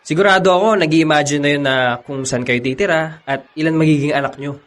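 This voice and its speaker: A man's voice: a little high, fairly quick, a bit bright, natural voice. An actor playing a young male college friend who teases and laughs along with his buddy. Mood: teasing. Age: young adult. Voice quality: teasing, laughing often. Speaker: actor in a radio drama. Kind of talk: radio drama acting.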